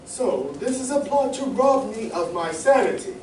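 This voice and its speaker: Distant echoey hall, pompous cartoon moocher acted by a man, flowery, theatrical, lively swings, radio comedy. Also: very high voice, normal speed. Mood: anguished. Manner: pompous, grandiloquent, declaiming. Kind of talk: old-time radio comedy acting. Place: playhouse stage before a live audience. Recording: echoey hall from far off, faint noise.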